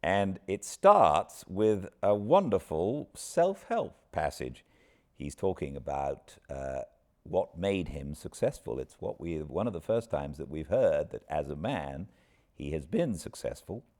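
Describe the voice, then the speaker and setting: A British man hosting an informal book recap with an expressive storyteller's delivery. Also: low voice, a little slow. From Britain. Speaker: host, audiobook narrator.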